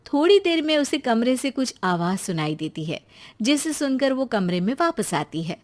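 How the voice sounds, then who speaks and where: Woman storyteller with a highish voice reading out a funny cartoon tale. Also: sing-song, moderate pace. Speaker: storyteller, narrator. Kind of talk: scripted narration of a comic moral story.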